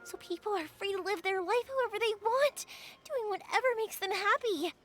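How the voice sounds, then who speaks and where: A woman's voice: very high voice, normal speed, noisy background. An actress playing a cheerful, chatty young woman who makes fireworks in a game, eager to please, with game music behind her.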